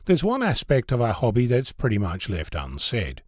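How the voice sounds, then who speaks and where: A man's voice: medium pitch, wildly swooping pitch, normal speed, a little mellow, no room sound, studio quality. A man hosting a podcast, reading a scripted essay in a conversational way with wry asides. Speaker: host of an amateur radio podcast. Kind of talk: scripted spoken essay, narration.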